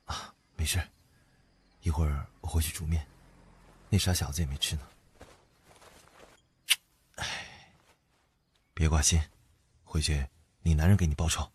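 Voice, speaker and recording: A man playing a steady, protective older brother and boyfriend in an audio drama, calm, firm and coaxing. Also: a bass voice, a little slow, pauses often, a little hiss or hum. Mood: tender, reassuring. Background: background music.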